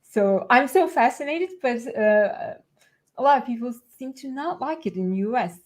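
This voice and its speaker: A woman co-hosting a casual live stream over a video call, friendly and quick to laugh. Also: on the high side, animated. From Turkey. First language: Turkish. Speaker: co-host. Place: home room, indoors.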